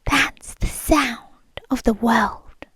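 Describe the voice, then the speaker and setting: A young British woman voicing a calm, controlling rich girlfriend in an ASMR roleplay, speaking slowly and softly and often whispering close to the mic. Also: on the high side, slightly rough, no echo, heavily acted. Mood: hushed. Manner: slow, soft-spoken, often whispering close.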